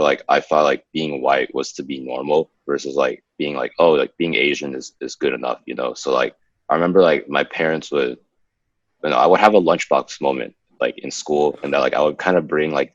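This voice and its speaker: A man's voice: a bass voice, fairly even intonation, a little fast, dry recording, highs cut off. A young man from the United States, a podcast co-host, talking casually and ramblingly with many fillers. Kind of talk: casual conversation.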